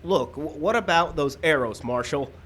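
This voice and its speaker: A man playing an anxious, defiant frontier townsman in a radio western, husky and insistent. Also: a little high, expressive, moderate pace, a bit bright, slight background noise. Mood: agitated, defiant. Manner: insistent, argumentative. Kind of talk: old-time radio western acting.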